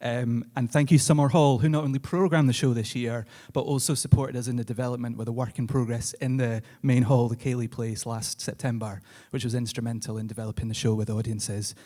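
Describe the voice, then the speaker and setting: A man's voice: medium pitch, fairly even intonation, fairly quick, hardly any pauses, mellow. Male, medium register, informal acceptance speaker at a live ceremony. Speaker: theatre-maker accepting an award. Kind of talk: thank-you speech. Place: live on stage at an awards ceremony.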